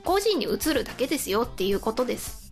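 A woman's voice: fairly high pitch, very animated, fast talker, soft background music. A woman hosting a casual radio chat, often laughing as she talks. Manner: giggly, often laughing while talking. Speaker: radio show host.

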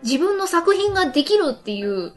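A woman's voice: extremely high pitch, big pitch swings, fairly quick. A woman hosting a casual radio talk show, reading out listener messages. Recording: light music behind the voice. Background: background music.

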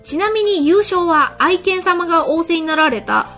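A woman's voice: very high-pitched, expressive, unhurried, fairly bright tone. A woman hosting a radio show, chatting and reading out listener mail, often breaking into laughter, with music behind her. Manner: laughs often while talking. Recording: music under the voice. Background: background music.

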